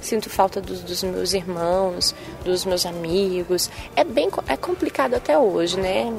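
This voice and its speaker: A young adult woman journalist with a soft Bahian accent, answering interview questions in a sing-song manner with frequent tag questions. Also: on the low side, unhurried, gravelly, soft background music. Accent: Bahian Brazilian Portuguese. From Bahia, Brazil. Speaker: TV journalist and presenter.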